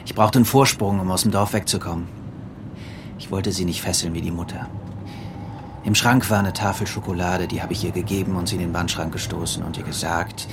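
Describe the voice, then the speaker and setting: An actor playing a hard young escaped convict in a radio drama, terse and menacing, with curt, commanding speech. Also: male voice, low-pitched, background noise. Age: young adult. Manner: curt, clipped answers, commanding.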